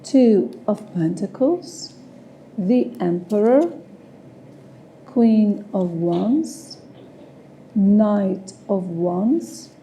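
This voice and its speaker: A woman with a Greek accent giving a tarot reading, speaking hesitantly and searching for words with frequent pauses and fillers. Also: medium pitch, expressive, warm tone, slight background noise, low-quality recording. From Greece. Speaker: tarot reader. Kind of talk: slow, halting tarot reading with many fillers.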